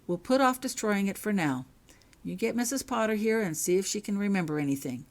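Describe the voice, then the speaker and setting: A woman's voice: medium pitch, expressive, brisk, close to an everyday voice. A woman plays a stern female professor in a storybook reading. She is firm and authoritative, conceding only reluctantly as she hands out orders.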